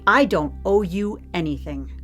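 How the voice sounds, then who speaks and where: A woman's voice: lowish voice, measured pace, fairly bright tone, faint music behind. A woman acting in an audio drama as a hard-edged, unflappable head of security who speaks in pointed, sardonic questions. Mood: curt, defiant.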